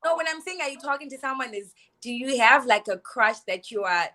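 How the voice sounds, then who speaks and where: A woman's voice: sits high, animated, a little fast, a crowd in the background. A Zimbabwean woman co-hosting a casual panel chat, chatty and full of fillers as she mixes in Shona. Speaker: co-host.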